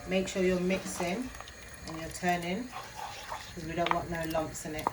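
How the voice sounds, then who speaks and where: A woman's voice: fairly low pitch, fairly even intonation, a little slow, heavy background noise. A woman with a Jamaican accent, talking through a cooking tutorial in her kitchen, with a chatty habit of asking "yeah?" after most phrases. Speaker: home cook. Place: home kitchen.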